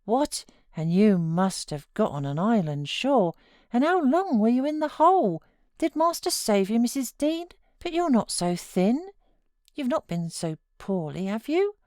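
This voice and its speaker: A woman's voice: a little high, very animated, measured pace, darkish tone, no room sound, studio quality, voice pushed up. A woman voicing a gossipy, good-natured country servant in a novel, exclaiming in rural dialect. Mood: excited, curious.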